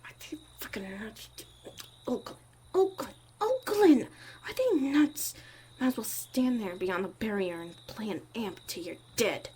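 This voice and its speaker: Woman as tough, caring witch mom for ASMR roleplay; unhurried, muttered, near-whisper delivery, audible background ambience. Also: a little high, animated, mellow, a little hiss or hum. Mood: exasperated, muttering. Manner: muttering, often dropping to a whisper. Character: tough, foul-mouthed young witch mother, exasperated but caring.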